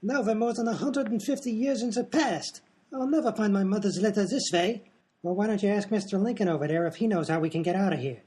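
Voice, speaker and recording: A man playing an excitable, whiny, hapless professor who scolds in a comedy radio play. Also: high voice, fast talker, lightly acted. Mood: exasperated.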